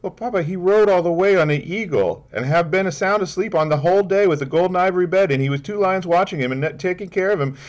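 A man's voice: natural voice, low-quality recording. A man doing an insistent little girl. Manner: high pleading childish voice, quick protest.

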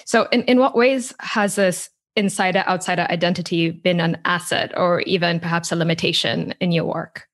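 A woman co-hosting a podcast, posing long, measured interview questions. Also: on the low side, fairly even intonation. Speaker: podcast co-host.

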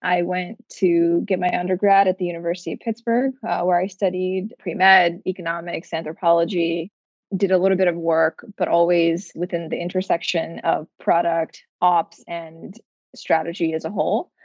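A young woman from Nepal, raised in the US, introducing herself fluently as a guest. Her voice is gravelly and sits a little low. The recording is dull, dry and lo-fi. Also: little pitch movement, normal speed, a little mellow, no room sound. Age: young adult. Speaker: guest, fellow. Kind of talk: self-introduction in an interview. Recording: dull, lo-fi mic.

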